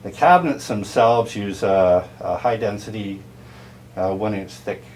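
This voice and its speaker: A man giving an informal, technical product tour in a halting, explanatory way. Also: lowish voice, darkish tone, a little hiss or hum. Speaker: audio dealer and turntable restorer giving a product tour.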